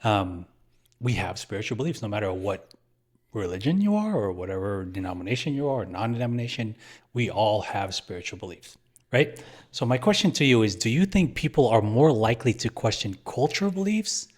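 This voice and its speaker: A man's voice: on the low side, big pitch swings, a little fast, a little mellow, breathy edge. A man from San Francisco talking casually as a podcast co-host. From San Francisco, USA. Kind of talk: casual chat.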